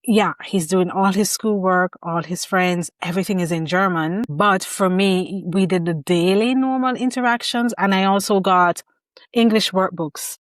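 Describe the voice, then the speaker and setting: A woman's voice: mid-range voice, fairly even intonation, moderate pace, no room sound. A woman hosting an interview, talking reflectively and sharing her own parenting experience. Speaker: podcast host. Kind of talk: conversational interview.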